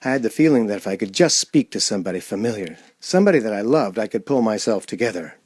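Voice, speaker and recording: A man playing a frightened, unraveling traveler in a suspense radio play, speaking dramatically and haltingly as he tells his story. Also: on the low side, lively intonation, background noise, natural voice. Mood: shaken, desperate. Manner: dramatic, halting, repeats words in distress.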